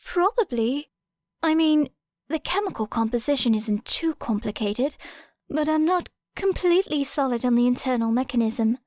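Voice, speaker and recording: Woman doing polite brainy teen girl, kinda hesitant, high clear voice, audiobook. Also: animated, normal speed, a little mellow, no echo, clean studio sound. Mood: hesitant, curious. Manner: careful, well-spoken. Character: polite, hesitant, thoughtful teenage schoolgirl. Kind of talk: audiobook character voice.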